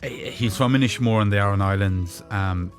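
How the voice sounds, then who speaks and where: A music critic gives measured, informative views on a live radio panel. His voice sits fairly low, and he often repeats a word as he restarts a thought. Faint music runs underneath in the studio. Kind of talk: radio review panel discussion.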